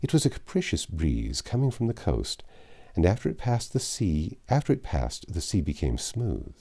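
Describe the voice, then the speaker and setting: A man with an extremely deep, mellow voice narrates a classic novel. His delivery is measured and dramatic, with lively swings in pitch, like an audiobook storyteller. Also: slightly rough.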